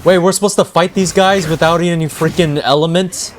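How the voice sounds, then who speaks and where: A man's voice: a little high, steady tone, fairly quick, a little hiss or hum. A man streaming gameplay, reacting casually with slangy exclamations and muttered asides to his chat. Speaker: game streamer.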